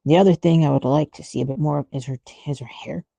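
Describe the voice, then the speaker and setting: A woman's voice: low voice, fast, gravelly, warm tone, dry recording, dull audio. A woman thinking aloud in casual livestream art commentary, musing and often trailing off mid-thought. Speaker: artist streamer thinking aloud while drawing.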